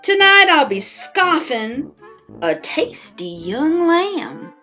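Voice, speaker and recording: A woman's voice: high-pitched, sing-song, slow, pushed and bright, faint music behind, voice pushed up, exaggerated voice. A woman voicing a wily, boastful male wolf in a children's storybook, smug and theatrical, relishing each word.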